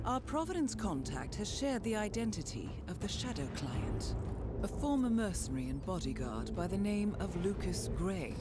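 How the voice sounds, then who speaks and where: Actress as formal mission handler, animated melodic pitch, crisp authority, game briefing over loud music. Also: female voice, lightly acted. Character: poised, authoritative female intelligence handler. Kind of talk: scripted mission briefing narration.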